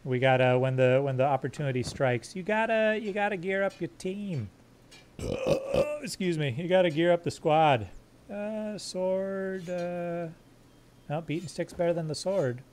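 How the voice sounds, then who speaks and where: A man streaming a game live, joking crudely with his chat in a fairly monotone voice while sorting his squad's gear. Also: sits high, unhurried, a little hiss or hum. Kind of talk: live gameplay commentary.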